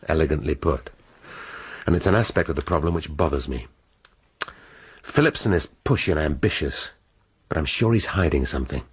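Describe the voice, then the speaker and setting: An actor playing a brooding, bookish senior English detective who speaks in a measured, reflective way with dry wit. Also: male voice, rumbling low, lively intonation, breathy edge, exaggerated voice. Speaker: police detective inspector. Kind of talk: radio drama.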